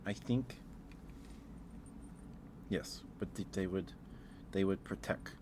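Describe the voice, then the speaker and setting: A man doing a casual, rambling ASMR livestream, speaking in a hesitant, musing way and repeating himself over clear background noise. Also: low voice, slow. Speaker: live streamer.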